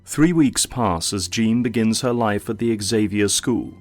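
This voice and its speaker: Casting: male audio drama narrator, low pitch, solemn and theatrical scripted delivery, music underneath. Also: measured pace.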